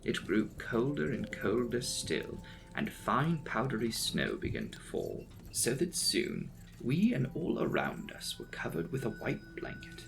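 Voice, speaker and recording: A man's voice: medium pitch, sing-song, measured pace, vocal fry, noisy background. A man giving a dramatic audiobook reading of a gothic novel. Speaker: audiobook reader. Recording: music behind the voice. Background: background music.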